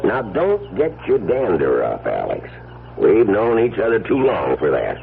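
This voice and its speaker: A man's voice: fairly low pitch, huge pitch swings, measured pace, creaky, over loud music, full character voice. An actor playing a stubborn, skeptical small-town policeman with a folksy rustic drawl in a 1970s radio drama. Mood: dry, skeptical. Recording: tinny, faintly noisy old radio broadcast.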